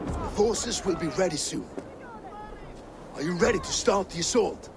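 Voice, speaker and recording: An actor playing a proud, fatalistic Viking ship captain and loyal lieutenant in a video game. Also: male voice, sits high, lively intonation, measured pace, gravelly, noisy background, heavily acted. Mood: confident briefing. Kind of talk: dramatic video game acting.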